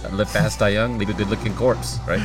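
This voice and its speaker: A man's voice: fairly low pitch, steady tone, moderate pace, crowd noise. A man as a guest on a casual talk show, teasing with dry humor, music playing behind. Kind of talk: casual podcast chat.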